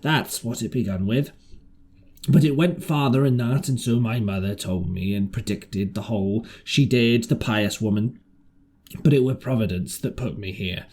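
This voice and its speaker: Hoarse old castaway sailor acted by a man, slow rustic dialect, mellow tone, wheedling and pious, audiobook character. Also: on the low side. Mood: confiding. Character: ragged marooned old sailor, wheedling, pious, half-wild.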